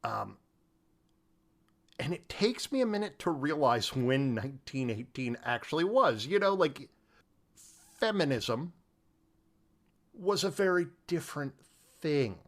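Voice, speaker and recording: A man co-hosting a podcast, chatting casually about film in an animated, halting way full of informal asides. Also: fairly high pitch. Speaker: podcast co-host.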